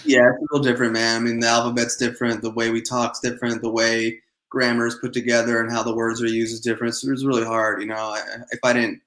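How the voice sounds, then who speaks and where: A man's voice: medium pitch, level tone, quick pace, a bit bright, echoey room, poor audio. A man whose first language is Greek, a podcast guest talking eagerly and chattily in casual conversation.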